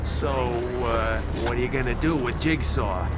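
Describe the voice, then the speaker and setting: An actor playing a harried, nervous police officer in a video game, hesitant, exasperated and given to swearing. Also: male voice, medium pitch, normal speed, over loud music, lightly acted. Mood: pleading. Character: harried male police officer, nervous, pleading, foul-mouthed.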